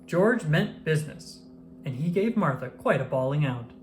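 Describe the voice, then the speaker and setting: A narrator reading a children's picture book aloud in an expressive, measured storytelling style. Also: mid-range voice, slight background noise.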